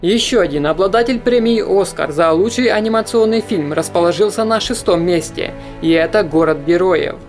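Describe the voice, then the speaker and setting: A man reading a scripted voice-over about animated films in an even, informative manner. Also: high voice, moderate pace, hardly any pauses. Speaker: film review narrator. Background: soft background music.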